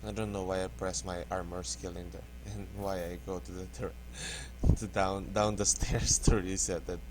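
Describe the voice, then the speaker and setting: A man from the Philippines with a Filipino accent, English not his first language, giving halting, hesitant commentary over a game tutorial. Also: low-pitched, little pitch movement, background noise. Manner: hesitant, halting, restarts words often. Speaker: gaming tutorial host. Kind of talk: casual gameplay commentary.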